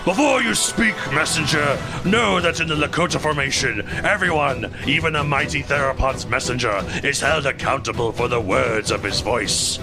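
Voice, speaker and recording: A man's voice: mid-range voice, normal speed, hardly any pauses, lightly acted. A man voicing a pompous dinosaur warrior king in a farcical, overly dramatic audio play. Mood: stern. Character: bombastic, self-righteous armored dinosaur warrior king. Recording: dramatic music behind the voice.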